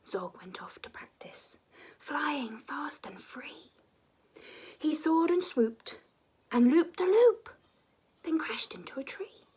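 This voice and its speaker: A woman reading a children's story expressively, often dropping to a hushed, breathy delivery. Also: high-pitched, sing-song, takes it slowly, long pauses.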